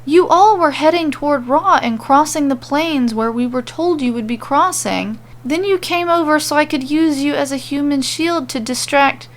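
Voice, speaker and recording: A woman's voice: sits high, normal speed, few pauses, voice pushed up. A woman voicing a nervous, frustrated man, a bumbling kidnapper in an audio story, hesitant and slangy. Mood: defensive.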